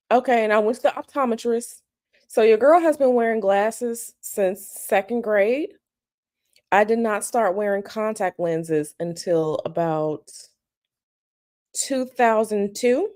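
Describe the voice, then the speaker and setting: Raspy-voiced woman chatting on a morning live stream. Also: a little high, unhurried, a little mellow. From United States. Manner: chatty, greets viewers by name, slangy asides. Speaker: tarot channel host. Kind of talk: casual live-stream chat with viewers. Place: at home, bedroom.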